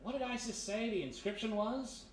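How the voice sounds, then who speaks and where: A man's voice: sits high, expressive, normal speed. A man with an American accent playing a wisecracking private detective in a radio mystery, breezy and slangy. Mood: wry, curious. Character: wisecracking, smooth-talking male private detective, former thief. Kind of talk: live 1940s-style radio mystery acting. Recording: noisy echoey hall, distant stage mic.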